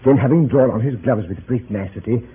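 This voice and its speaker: A formal club-member narrator, played by a man, tells a radio tale with wry, theatrical phrasing. The voice is mid-pitched, on a thin vintage broadcast with light noise. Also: brisk, phone-quality sound, natural voice. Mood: wry, measured. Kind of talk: dramatic 1930s radio narration.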